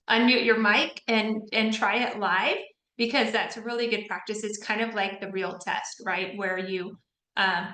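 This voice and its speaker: Woman tutor on a video call, bright and fairly high voice, roomy echo, chatty and informal. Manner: chatty, informal, frequent ums and "right?" checks. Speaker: test-prep tutor. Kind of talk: online group tutoring session. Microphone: computer mic on a video call.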